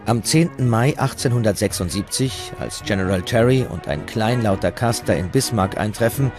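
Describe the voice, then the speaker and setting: A man's voice: on the low side, animated, moderate pace, over music. A man reading scripted documentary narration as the narrator.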